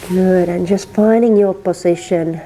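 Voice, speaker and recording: A woman from Finland with a Finnish accent, teaching a gentle yin yoga class and softly cueing breath and movement. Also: on the low side, fairly even intonation, measured pace, a little hiss or hum, low-quality recording. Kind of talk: guided yin yoga instruction.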